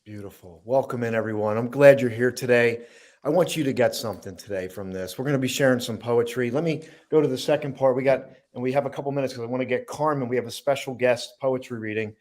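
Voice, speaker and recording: A man co-hosting an inspirational talk show, speaking at an ordinary mid pitch. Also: fast, a little mellow.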